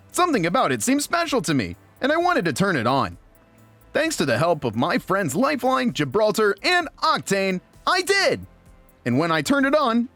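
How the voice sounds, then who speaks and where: A man's voice: high voice, fairly bright tone, no echo, heavily acted. Guy doing an upbeat innocent robot telling his story, super bouncy intonation, close dry sound. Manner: earnest, guileless, upbeat. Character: cheerful naive friendly male robot. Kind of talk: audiobook narration in character.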